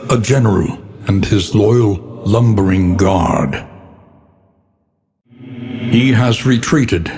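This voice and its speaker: A low-voiced, foreboding narrator for a gothic horror game, trailing long reverb. He is solemn and theatrical, weighing each phrase with grave, portentous care. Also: darkish tone, a little hiss or hum. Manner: solemn, portentous, deliberate diction.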